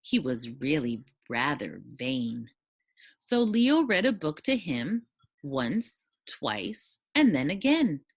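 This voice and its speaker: A woman giving an expressive read-aloud narration of a children's storybook. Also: low-pitched, slow pace, husky, dry recording. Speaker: storybook reader.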